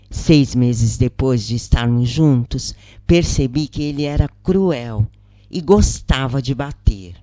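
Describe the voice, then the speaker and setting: A woman's voice: a bass voice, warm tone, no echo, heavily acted. A woman with a Brazilian accent voicing a patient, earnest female prisoner in an audiobook story. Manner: earnest, explanatory. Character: imprisoned woman counselor Joana, grave, patient, confiding.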